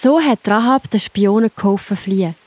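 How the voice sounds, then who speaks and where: A woman's voice: medium pitch, moderate pace, a little mellow, dry recording. A woman with a Swiss German accent narrating a scripted children's Bible story, reassuring and speaking straight to the young listener.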